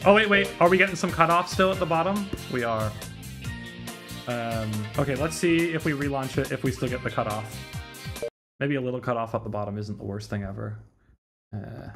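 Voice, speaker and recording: A man's voice: medium pitch, a bit bright. A man livestreaming a game, chatting casually and thinking aloud in slangy, mildly sweary language. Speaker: livestreamer playing a visual novel. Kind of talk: casual livestream chat while troubleshooting. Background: music.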